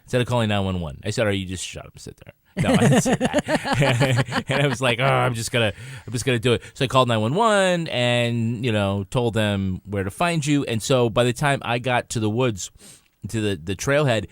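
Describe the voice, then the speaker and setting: A man's voice: mid-range voice, sing-song, fairly quick, no room sound, studio quality. A middle-aged man co-hosting a podcast, chatting casually and acting out conversations as he tells stories. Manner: acts out quoted conversations. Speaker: podcast co-host. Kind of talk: casual chat, animated storytelling.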